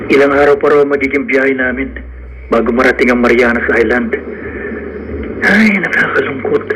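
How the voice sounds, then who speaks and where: A man's voice: mid-range voice, moderate pace, a bit bright, slight background noise, natural voice. A man playing a poor, hopeful and determined young man in love, in an earnest radio drama style. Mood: wistful, devoted. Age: young adult.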